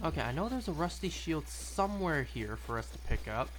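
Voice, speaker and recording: A man's voice: on the high side, very animated, a little fast, background noise. A young man chatting casually and rambling through gameplay commentary, with wry little asides. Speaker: streamer.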